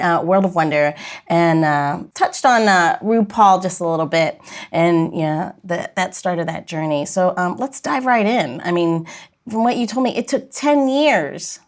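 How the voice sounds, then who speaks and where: A woman hosting a podcast, interviewing in a casual, chatty way. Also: medium pitch. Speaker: podcast host.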